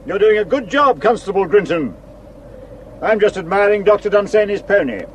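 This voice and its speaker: An actor playing a shrewd, sardonic police superintendent in a radio play, dry and probing in manner. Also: male voice, high voice, expressive, normal speed, a little hiss or hum, poor audio. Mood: affable, inquisitive.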